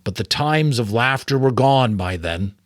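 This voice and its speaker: A man's voice: mid-range voice, expressive, a little slow, no room sound, studio quality, lightly acted. A man voicing a sorrowful, weary old mentor in a grave, faltering, earnest way, as in a storybook. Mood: heavy sorrow. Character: sorrowful, weary old male mentor confessing painful memories.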